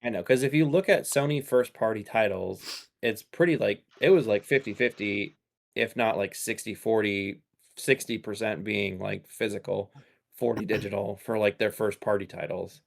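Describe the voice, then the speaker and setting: A man's voice: on the low side, normal speed, creaky. A man co-hosting a casual gaming podcast, laid-back, piling up fillers and swearing now and then. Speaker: podcast co-host. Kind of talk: casual gaming news chat.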